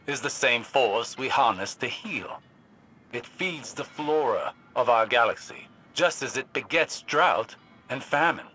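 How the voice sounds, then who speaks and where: A thoughtful scholar delivering game lore. His voice is bright and forceful with a husky grain, at a middling pitch. He speaks in measured, formal, philosophical phrases, like a teacher instructing. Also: heavily acted. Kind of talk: scripted lore narration.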